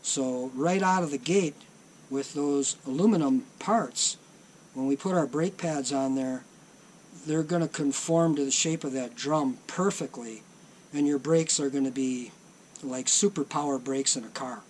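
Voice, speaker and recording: A middle-aged man giving a slow, plainspoken workshop demonstration of a repair, often pausing on ums and uhs. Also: mid-range voice, a little mellow, slight background noise, rough recording. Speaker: tape deck repair technician.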